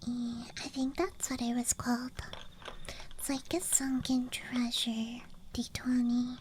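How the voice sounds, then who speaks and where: A young woman speaks in a soft, breathy ASMR whisper, with a high, girlish tone. Her delivery is extremely slow, gentle and playful, as if sharing small things one at a time. A faint outdoor night ambience sits behind her. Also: fairly even intonation, noisy background. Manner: gentle, playful, unhurried murmuring. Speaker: ASMR streamer. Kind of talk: ASMR, unscripted show-and-tell. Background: night campground ambience track.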